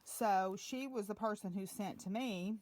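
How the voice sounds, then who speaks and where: A woman's voice: medium pitch, measured pace, darkish tone. A woman chatting casually in a home vlog, rambling along with plenty of fillers. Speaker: vlogger.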